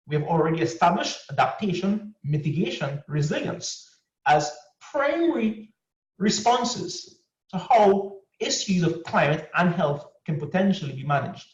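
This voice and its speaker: A man's voice: sits high, very animated. Distant, echoey man hosting an online course. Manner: measured, formal delivery with drawn-out phrases. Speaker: course host. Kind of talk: formal introduction of a panelist. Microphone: video call. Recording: distant, echoey, muffled video call audio.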